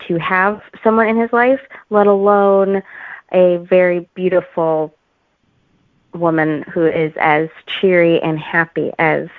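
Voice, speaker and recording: A woman talking as an author guest in a casual interview, with an informal, halting, giggly manner. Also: lowish voice, no echo, narrow, tinny audio.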